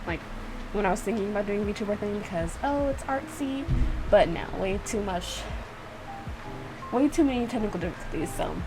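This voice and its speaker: A woman's voice: medium pitch, expressive, normal speed, long pauses, over music. A woman chatting casually in a playful, self-mocking way, like a YouTuber doing a reaction video. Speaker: YouTuber reacting to own visual novel. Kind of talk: casual rambling reaction commentary. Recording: clearly noisy room recording.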